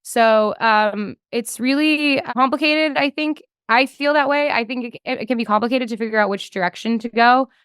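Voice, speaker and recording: A woman's voice: a little high, moderate pace, hardly any pauses, fairly bright tone, clean tone, dry recording. A woman chatting casually as an interview guest, thinking aloud and peppering her talk with "like". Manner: conversational, thinking aloud, frequent hedging with "like". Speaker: podcast guest, developer relations engineer.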